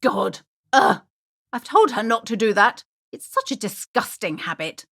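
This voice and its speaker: A woman's voice: on the high side, big pitch swings, measured pace, a bit bright, exaggerated voice. An actress playing a fussy, superstitious middle-aged woman who runs a bookshop in a video game, prim and haughty, with dramatic emphasis. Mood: indignant. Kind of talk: theatrical video game character acting.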